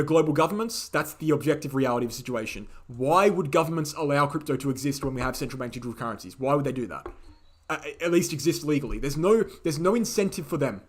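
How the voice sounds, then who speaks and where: A man hosting a live question-and-answer stream, speaking in a casual, opinionated way, repeating key phrases for emphasis, his voice slightly croaky. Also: on the high side. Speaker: host answering viewer questions. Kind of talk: casual livestream Q&A.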